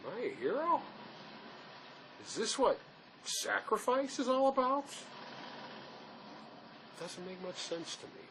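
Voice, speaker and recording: An actor playing a remorseful escaped convict who questions himself haltingly in naturalistic drama, recorded on location. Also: male voice, heavily acted. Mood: agitated, questioning. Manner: searching, self-questioning, halting. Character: escaped convict, brooding, remorseful man wrestling with guilt. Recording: noisy location sound with steady ambient rumble.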